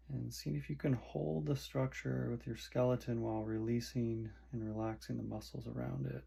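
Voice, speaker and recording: A man guiding a meditation in short, soothing phrases with long pauses between them. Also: mid-range voice, creaky, mellow, breathy edge. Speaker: meditation guide. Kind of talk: guided meditation instruction.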